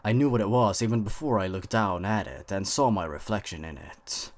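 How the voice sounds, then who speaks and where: A man's voice: on the low side, a little fast. A man narrating scripted horror stories in a suspenseful, theatrical way.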